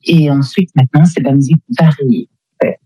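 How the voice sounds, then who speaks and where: Casting: female singer as radio guest, soft-toned mellow voice, hesitant delivery, close dry studio sound. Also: sits low, steady tone, brisk, husky, no echo, low-quality recording. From Democratic Republic of the Congo. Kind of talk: conversational radio interview.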